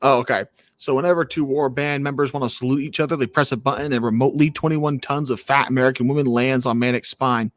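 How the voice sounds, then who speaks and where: Male co-host, mid-pitched, deadpan crude humor, dry sound. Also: fast talker, dry recording. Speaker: regular co-host. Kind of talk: casual call-in chat.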